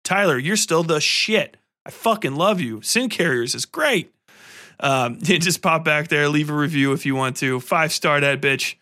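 A man's voice: fairly high pitch, a little slow, bright, forceful tone, no room sound, clean studio sound. A man hosting a podcast, speaking casually and irreverently in a loose, rambling, sweary style. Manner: irreverent, profane, informal. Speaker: podcast host.

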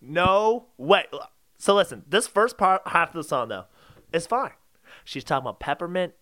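A man's voice: high voice, very animated, normal speed, a bit bright, no room sound. A man co-hosting a comedy podcast, bantering casually in an incredulous, insistent, teasing way. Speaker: podcast co-host.

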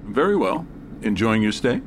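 Effusive hotel concierge, audio drama: formal, eager, swooping delivery. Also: male voice, on the low side, very animated, moderate pace, a little hiss or hum. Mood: eager, welcoming. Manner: overly formal, eager to please. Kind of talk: audio fiction acting.

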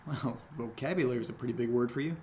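A man's voice: medium pitch, rapid-fire, warm tone, lightly acted. A man playing a trusting, dry young man in an audio drama, talking casually. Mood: teasing. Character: trusting, wounded, dryly teasing young man. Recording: faint background noise.

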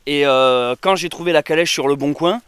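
A man talks freely in an interview, piling up fillers and restarts as he goes. His voice sits a bit high and sounds smooth and resonant. The recording is dry and close. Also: normal speed, fairly bright tone, no room sound. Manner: rambling, many fillers and restarts, laughs now and then. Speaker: interviewee, traveler and videographer. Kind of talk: casual interview chat.

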